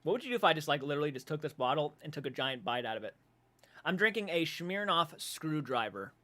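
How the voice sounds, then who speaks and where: A man in his twenties hosting a casual livestream, reading viewer comments aloud and reacting with animated, swearing banter. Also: on the high side, quick pace. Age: 20s. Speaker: livestream host. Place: dark room at home.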